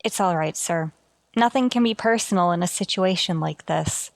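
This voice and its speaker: An actress playing an earnest, deferential starship communications officer in a sci-fi radio drama. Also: female voice, on the low side, normal speed, creaky, dry recording. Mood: respectful, uneasy. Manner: earnest, respectful, careful.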